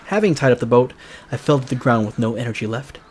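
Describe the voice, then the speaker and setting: A man's voice: mid-range voice, animated, fast, slight background noise. A man narrating a visual novel aloud as a let's player, casual and slangy, with joking asides. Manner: jokey, slangy. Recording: music behind the voice.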